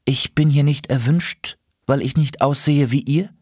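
A man's voice: medium pitch, steady tone, a little slow, a little mellow, slightly rough, dry recording, clean studio sound, pitched above the performer's own voice. A man voicing a timid, hopeful colorful bird who speaks politely and a little hesitantly.

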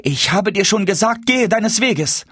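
A man's voice: sits high, animated, unhurried, fairly bright tone, husky, no echo, studio quality, pitched above the performer's own voice, full character voice. A man voicing an angry, scornful market woman in a storybook reading, scolding indignantly. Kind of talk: storybook character voice in a fairy tale reading.

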